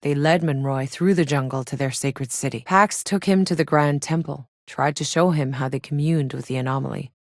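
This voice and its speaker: A woman's voice: low voice, moderate pace, hardly any pauses, no echo, studio quality. An actress playing a tough, sardonic female soldier and explorer in a sci-fi video game, blunt and matter-of-fact. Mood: investigative, serious. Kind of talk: scripted video game character voice lines.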